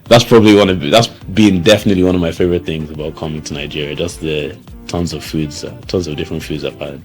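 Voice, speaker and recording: Low-voiced young man, loose and chatty. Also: quick pace, soft background music. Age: young adult. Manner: relaxed, many fillers and restarts. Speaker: student. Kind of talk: interview answers.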